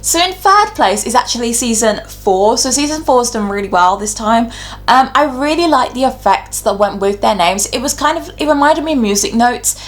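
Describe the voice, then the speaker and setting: A young adult woman giving casual, opinionated video commentary, talking chattily and explaining her reasoning point by point. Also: medium pitch. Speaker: YouTube host giving ranked commentary.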